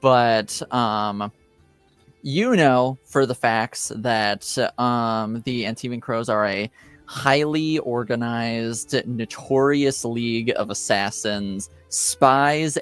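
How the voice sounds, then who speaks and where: Man, game master, slow halting narration, smooth clear voice, faint music behind, tabletop fantasy lore. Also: mid-range voice, a bit bright.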